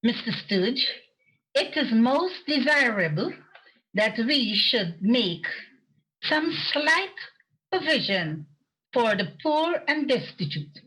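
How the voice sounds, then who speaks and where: Woman acting genteel cheerful charity collector, very animated, unhurried, polite, earnest, amateur radio drama. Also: a little high, heavily acted, low-quality recording. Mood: polite, hopeful. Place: performed remotely over a video call. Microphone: video call.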